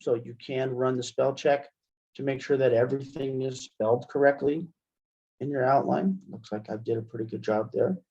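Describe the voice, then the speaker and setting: A man giving an informal, step-by-step software demonstration as a webinar instructor, in dull lo-fi call audio. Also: medium pitch, steady tone, moderate pace, creaky.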